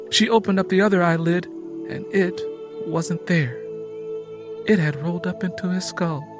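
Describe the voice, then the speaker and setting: A man's voice: high-pitched, a bit bright. A man reading a dramatic audiobook story as its narrator, in scripted prose.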